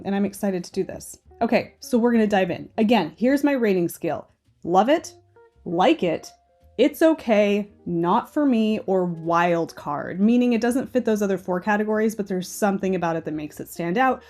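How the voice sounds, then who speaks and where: A woman's voice: mid-range voice, warm tone. A woman hosting a knitting channel, chatting casually and opinionated while browsing on screen, a little stuffy and nasal. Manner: chatty and opinionated, often asking "okay?". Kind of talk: casual screen-share commentary.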